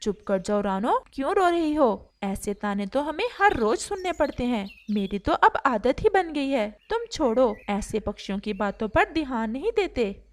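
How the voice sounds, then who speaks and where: Animated male cartoon bird. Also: fairly high pitch, moderate pace, few pauses. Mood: consoling. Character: blustering male cartoon bird, husband and neighbour. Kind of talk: Hindi children's cartoon character voice.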